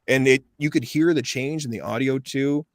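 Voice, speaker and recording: A man's voice: mid-range voice, normal speed, no room sound, clean studio sound. A man in his thirties, a voice actor telling stories in a casual interview and acting out the voices he quotes. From USA. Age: 30s. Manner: animated storyteller, acts out quoted voices. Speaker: voice actor guest.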